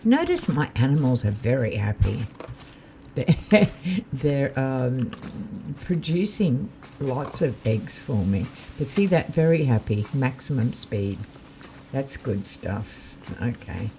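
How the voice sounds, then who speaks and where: A man with a slightly croaky voice, chatting casually and thinking aloud while he live-streams a game. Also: mid-range voice, sing-song, slow, warm tone, a little hiss or hum, rough recording.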